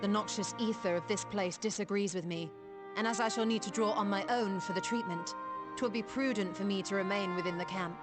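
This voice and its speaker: A courteous, scholarly healer from a game played by a woman. Her voice is mid-pitched and composed, with precise, archaic and formal wording. Music is clearly present behind her. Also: fairly quick, natural voice. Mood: composed, considerate.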